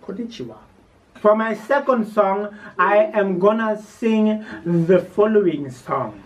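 A man's voice: sits high, slow. A young man casually presenting a family vlog as host, recorded indoors at home by a camera a few feet away.

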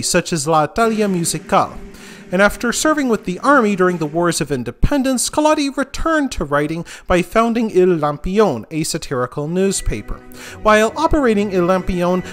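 A man narrating a scripted history of a fairy tale in an expressive storytelling manner. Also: high-pitched. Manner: expressive, lively storytelling delivery. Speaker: host.

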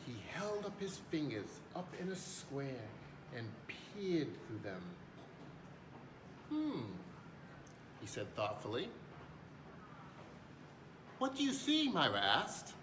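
A man in his forties reading a picture book aloud with dramatic pauses, putting on voices for characters. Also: a little high, wildly swooping pitch. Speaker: school staff member reading aloud. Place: indoor room at a school. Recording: echoey room, clear background noise.

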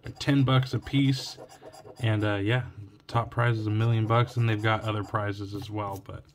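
A man's voice: lowish voice, flat delivery, moderate pace, slight background noise. A young man from Oregon scratching lottery tickets at home late at night, talking in a tired, cracking voice as he reads each symbol aloud. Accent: Oregon US English. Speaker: scratch-ticket channel host. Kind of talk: casual narration while scratching tickets. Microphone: phone or camera looking down at the table. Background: coin scratching and clicks.

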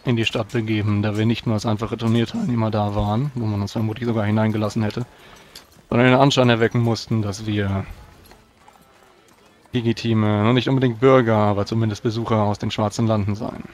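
A man speaking formally and deliberately as a proud, pious knight in a tabletop role-playing game. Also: fairly low pitch, level tone, a little mellow. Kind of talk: in-character role-play narration. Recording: clear background noise.

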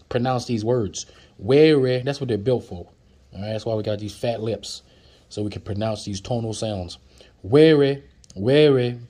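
A man's voice: mid-range voice, warm tone. A man, chatting through flashcards as he teaches words, repeating each one and joking with viewers. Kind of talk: casual flashcard lesson. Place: indoors at a table, off camera.

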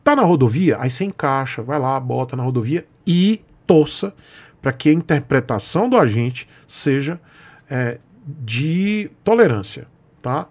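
A man's voice: mid-range voice, animated, measured pace, a little mellow, husky. A Brazilian man around his forties chatting casually to camera, blunt and emphatic, with colloquial, repeated short phrases. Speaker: YouTube host answering viewers' questions. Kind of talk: casual advice chat to camera. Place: small home room, indoors.

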